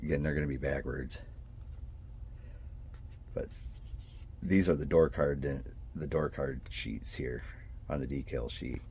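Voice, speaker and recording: A man's voice: a bass voice, fairly even intonation, a little slow, long pauses, a little mellow, breathy edge. A man with a congested, nasal voice and a twang rambles in a hobby vlog about building scale models, breaking off, apologizing and restarting his sentences. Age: adult with a son. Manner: meandering, self-interrupting, apologetic. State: congested from sinus trouble.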